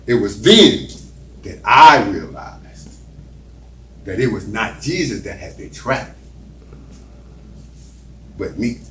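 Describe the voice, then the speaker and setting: A man playing Judas as a guilt-ridden betrayer in a church play monologue, explaining himself theatrically with halting, dramatic pauses. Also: mid-range voice. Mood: haunted, regretful. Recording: roomy echo, distant mic, faint noise.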